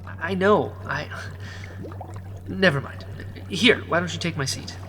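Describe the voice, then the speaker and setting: A woman playing a gentle, caring rebel who talks softly and stammers when uneasy. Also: lowish voice, a little slow, slight background noise. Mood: hesitant. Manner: soft, hesitant, stammering. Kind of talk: audio drama acting.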